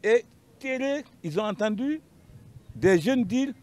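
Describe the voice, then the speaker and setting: A man's voice: very high voice, lively intonation, slow, a little mellow. A man with a Malian accent giving an unscripted account as a panel guest, emphatic and repeating his phrases.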